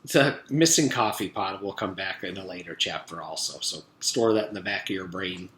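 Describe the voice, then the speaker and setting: A man's voice: low voice, normal speed, fairly bright tone, roomy sound. A man reading a children's storybook aloud like a teacher, expressively and speaking directly to young listeners.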